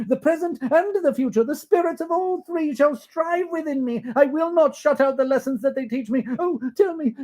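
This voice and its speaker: A storyteller voicing an elderly former miser, excitable and breathless, stammering through his words. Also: sits high, voice pushed up, natural voice. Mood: pleading. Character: old man, former miser, giddy and overjoyed. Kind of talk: read-aloud storybook voice acting.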